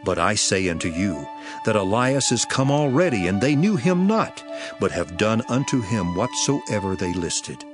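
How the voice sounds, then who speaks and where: An actor playing a solemn, authoritative teacher and master in a dramatized scripture, declaiming deliberately. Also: male voice, on the low side, sing-song. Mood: calm authority. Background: music.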